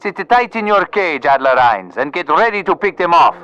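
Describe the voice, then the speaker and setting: High, swooping, fiery commander. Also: male voice, very animated, moderate pace, pushed and bright, smooth, dull audio. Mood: proud, combative. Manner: theatrical, combative military radio talk. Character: proud, fiery Italian tank commander in World War II. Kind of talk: video game cutscene acting.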